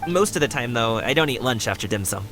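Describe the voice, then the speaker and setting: Man playing an animated, friendly young man. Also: mid-range voice, fast, music in the background, natural voice. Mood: friendly, generous. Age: young adult. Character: friendly hospitable young man, eager breakfast host. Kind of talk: video game voice acting.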